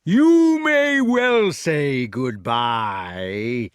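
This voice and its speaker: A man voicing a bullying, easily enraged giant in a storybook, with a drawn-out, menacing delivery. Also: a little high, very animated, a bit bright, no room sound, clean studio sound, pitched above the performer's own voice, heavily acted. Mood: menacing, sneering.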